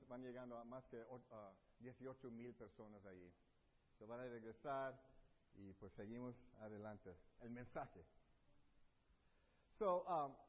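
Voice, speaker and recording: Slow preacher in a reverberant hall. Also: male voice, medium pitch. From Texas, USA. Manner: mixes English fillers into Spanish, warm and earnest. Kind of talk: sermon. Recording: dull lo-fi audio with long hall reverb.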